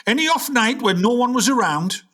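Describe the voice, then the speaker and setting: A man's voice: very high-pitched, lively intonation, normal speed, a bit bright, full character voice. A man playing an aging, grumpy, melodramatic jazz musician with a North of England accent in a comic radio play. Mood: grumbling. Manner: griping, melodramatic, indignant.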